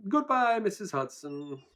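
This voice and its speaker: An actor playing an affable, mild-mannered Victorian doctor who narrates a detective audio drama and joins in the dialogue. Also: male voice, sits high, pushed and bright, slight background noise, heavily acted. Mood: curious, courteous.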